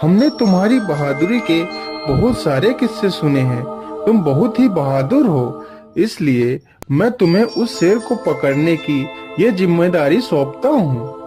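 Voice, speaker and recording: A man's voice: fairly high pitch, big pitch swings, a little slow. A man voicing a commanding yet gracious king in a cartoon folk tale, formal and authoritative. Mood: gracious, firm. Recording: music bed behind the voice.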